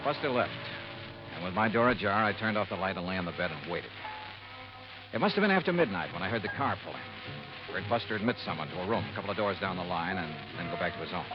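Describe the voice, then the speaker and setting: An actor playing a shrewd, commanding, unflappable insurance investigator who narrates in old radio detective style. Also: male voice, low voice, expressive, fast talker. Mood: tense, clipped narration. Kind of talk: golden age radio detective acting and narration. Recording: muffled old radio recording, loud music.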